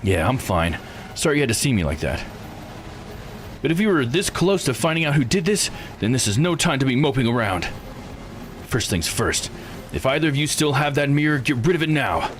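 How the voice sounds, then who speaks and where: A man's voice: medium pitch, very animated, a little fast, pauses often, a little hiss or hum, pitched above the performer's own voice, close to an everyday voice. A man voicing a resolute, plucky mystery visual novel character with earnest urgency. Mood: determined. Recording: game music behind voice.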